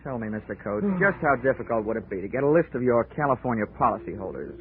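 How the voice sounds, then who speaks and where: An actor playing a wisecracking, unflappable investigator in a clipped, wry 1940s radio drama style. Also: male voice, mid-range voice, very animated, fast talker, a little hiss or hum, close to an everyday voice. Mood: brisk, cool. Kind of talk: 1940s radio acting, detective narration. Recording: muffled old radio recording, music behind.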